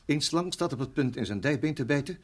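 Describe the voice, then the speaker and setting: An actor playing a shaken middle-aged father in a radio drama, speaking haltingly and repeating his words. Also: on the high side, lively intonation, slightly rough. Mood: haunted, brooding. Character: shaken father, a former Rhodesian farmer, hesitant and troubled.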